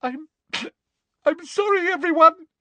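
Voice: extremely high pitch, slow pace, bright, forceful tone, no room sound, voice pushed up, full character voice. A storyteller voicing an anxious, tearful teenage schoolgirl who pleads and gulps her words. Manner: gulping, sobbing, crying out.

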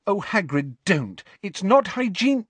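A man puts on an inquisitive teenage girl for an audiobook. The voice is lifted high and swings expressively, with an anxious, pleading manner. The sound is close and dry. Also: wildly swooping pitch, normal speed, dry recording. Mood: fussy concern. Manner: hushed, apologetic, pleading. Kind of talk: audiobook character voice.

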